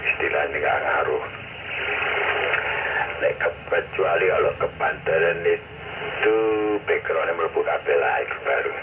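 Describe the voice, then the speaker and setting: A man's voice: extremely low pitch, unhurried, long pauses. A man with a Javanese accent answering over a two-way radio, easygoing, giving signal reports. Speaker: radio caller. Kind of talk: casual two-way radio chat. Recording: tinny radio channel with loud static.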